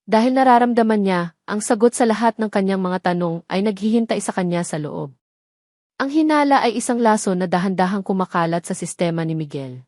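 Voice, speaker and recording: A woman's voice: medium pitch, animated, fairly quick, darkish tone, no room sound, clean studio sound. A woman narrating a scripted romantic drama as a storyteller, in a dramatic reading style.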